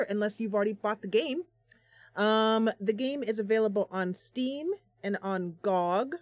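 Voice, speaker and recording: A woman's voice: medium pitch, a little mellow, no echo. Female game streamer, mid-range voice, bubbly and chatty, casual delivery, very dry recording. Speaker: live stream host, gamer. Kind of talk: casual live stream chat.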